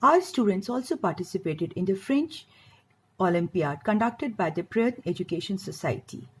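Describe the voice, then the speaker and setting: A woman's voice: mid-range voice, expressive, moderate pace, a little hiss or hum, low-quality recording. A woman French teacher giving a formal, appreciative testimonial. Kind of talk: recorded testimonial.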